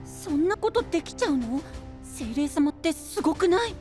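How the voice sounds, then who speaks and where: A man's voice: very high voice, big pitch swings, normal speed, darkish tone. A man voicing a small, innocent, eager boy knight in an anime-style voice drama, talking in a cutesy, wide-eyed way. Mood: curious, amazed. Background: background music.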